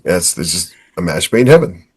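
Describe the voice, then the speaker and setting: A man with a fairly low voice hosts a podcast. He talks informally, in the loose style of a chat between colleagues. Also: big pitch swings, fairly quick, a crowd in the background. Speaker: podcast host.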